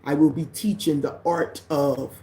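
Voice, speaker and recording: A woman with a deep voice hosts a casual livestream. She greets viewers by name, reads their comments aloud, and drifts into preachy asides. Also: steady tone, unhurried, dark tone, a little hiss or hum. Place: living in the Caribbean.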